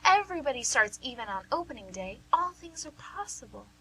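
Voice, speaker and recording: A woman's voice: high voice, big pitch swings, a little fast, a bit bright, a little hiss or hum, poor audio. A woman playing a plain-spoken, caring but pushy nurse in a radio play, persuasive and folksy. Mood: insistent, exasperated. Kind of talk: amateur radio theatre acting.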